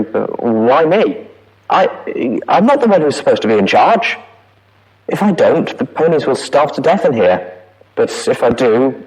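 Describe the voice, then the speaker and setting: A man playing an anguished pony prison inspector recording an audio log, with a wavering, pleading, halting delivery. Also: on the low side, a little mellow, lightly acted, rough recording. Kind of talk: dramatic audio-log monologue.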